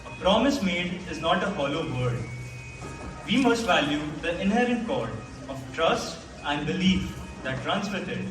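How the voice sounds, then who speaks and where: A man's voice: a little high, lightly acted. A young man playing a solemn prologue narrator, declaiming rhyming verse earnestly on stage. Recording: very echoey hall, distant mic, music.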